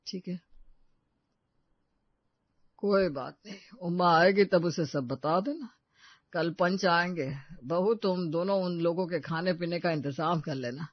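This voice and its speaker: Casting: man to play older patriarch for a family audio story, high pitch, plain-spoken, practical, dry slightly dull sound. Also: takes it slowly, long pauses, no room sound. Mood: matter-of-fact. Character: elderly family patriarch, caring, practical father-in-law. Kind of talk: Hindi audio story acting.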